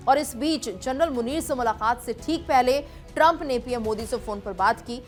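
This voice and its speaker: A woman's voice: fairly high pitch, normal speed, soft background music. A woman news presenter delivering scripted television news narration.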